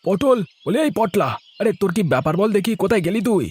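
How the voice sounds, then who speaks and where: A man's voice: sits high, expressive, quick pace, darkish tone, husky, dry recording. A man playing a bossy, scornful village man in a cartoon, scolding and dismissive. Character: bossy, scornful, disbelieving village elder brother figure. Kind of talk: cartoon story acting.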